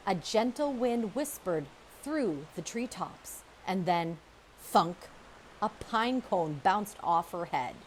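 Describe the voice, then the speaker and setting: A woman reading a children's chapter book aloud, with expressive, measured storytelling and dramatic pauses. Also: on the low side, a little hiss or hum, low-quality recording. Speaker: storybook reader. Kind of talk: children's audiobook read-aloud, scripted narration.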